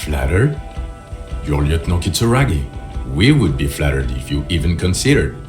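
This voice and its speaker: An actor playing a gruff, loyal police officer in a game, with a curt, blunt way of talking. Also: male voice, rumbling low, sing-song, normal speed. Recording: music under the voice. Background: music.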